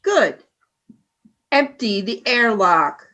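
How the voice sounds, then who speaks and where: A woman playing a cold, ruthless alien commander in a radio play, speaking in measured, commanding taunts. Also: fairly high pitch, sing-song, sharp tone, full character voice.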